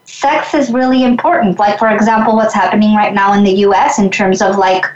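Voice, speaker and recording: A woman's voice: mid-range voice, steady tone, fairly quick, reverberant, highs cut off. A woman from Mexico, a guest in an interview, speaking reflectively and earnestly, often restarting her sentences. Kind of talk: conversational interview.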